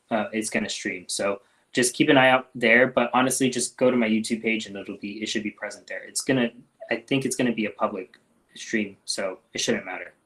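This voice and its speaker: A man hosting a casual live-stream Q&A as a doctor, talking loosely with lots of ums and restarts and letting his sentences trail off quietly. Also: medium pitch, low-quality recording. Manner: relaxed, frequent ums and restarts, trails off softly.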